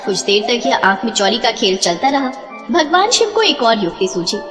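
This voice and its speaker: A woman narrates a devotional tale in a high, expressive voice. Her scripted storytelling sits in a long reverb with music underneath. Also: normal speed.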